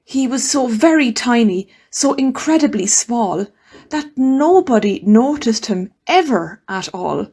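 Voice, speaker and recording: Slow-paced woman reading a children's poem. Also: fairly high pitch, husky. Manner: expressive, dramatic pauses. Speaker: storyteller. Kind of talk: scripted children's verse reading.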